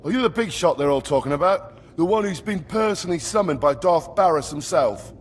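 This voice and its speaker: An actor playing a brash, over-eager, slightly dim young acolyte in a video game, blunt and excitable. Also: male voice, on the high side, a little fast, a little hiss or hum, lightly acted. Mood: eager, pushy.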